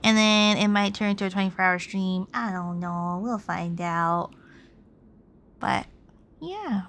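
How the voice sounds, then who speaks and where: A woman's voice: medium pitch, measured pace, a bit bright, slight background noise. A tired woman livestreamer chatting casually while gaming, informal and rambling, often trailing off. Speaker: live streamer. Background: game music.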